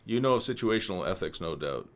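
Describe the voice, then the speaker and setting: A man playing a suavely threatening government official in a radio play, condescending, formal and deliberate. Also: low-pitched, lively intonation.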